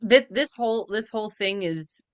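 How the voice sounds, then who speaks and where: A woman's voice: mid-range voice, animated, normal speed, dry recording. A woman co-hosting a podcast with casual, sarcastic reaction commentary, often mimicking others mockingly. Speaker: podcast co-host.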